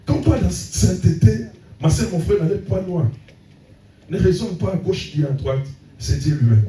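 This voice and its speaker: A man's voice: sits high, moderate pace, warm tone, a little hiss or hum. A man preaching a sermon as pastor, punctuating his points with frequent calls of amen. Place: church hall. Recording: echoey church room, voice from a distance.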